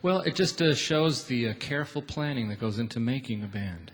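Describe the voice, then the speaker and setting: A man works as a radio host in a casual live interview on stage. His mid-pitched voice has a slightly husky edge. He is joking and a little halting as he talks. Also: lively intonation. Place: on a theatre stage.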